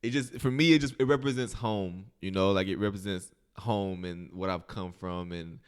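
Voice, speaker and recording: A man's voice: low-pitched, fairly even intonation, moderate pace, clear, resonant tone. An American man from southern Illinois and Kentucky, telling stories as a podcast guest in casual, informal talk. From southern Illinois and western Kentucky, United States. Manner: informal, peppered with "like" and "you know". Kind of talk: casual conversational storytelling.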